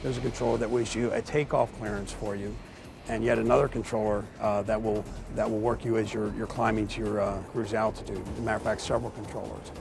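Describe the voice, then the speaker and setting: A man's voice: on the low side, little pitch movement, fast, vocal fry, music in the background. A man giving explanatory interview answers as an expert, in technical and formal wording. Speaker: air traffic expert being interviewed.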